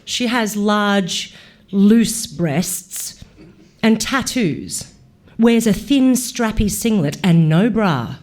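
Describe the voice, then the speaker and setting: An Australian woman, an actor reading a literary short story aloud with measured, deliberate phrasing. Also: medium pitch, animated, darkish tone.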